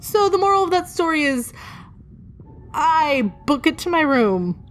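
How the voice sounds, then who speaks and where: A woman telling a personal story in a casual, informal way, with dramatic pauses for suspense and acted-out thoughts. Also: high-pitched, very animated. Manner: casually sweary. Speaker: storyteller, video creator. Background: music.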